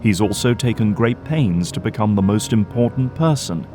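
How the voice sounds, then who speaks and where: A man's voice: lowish voice, lively intonation, moderate pace, mellow, soft background music. A man delivering grave, florid scripted narration for an audio drama.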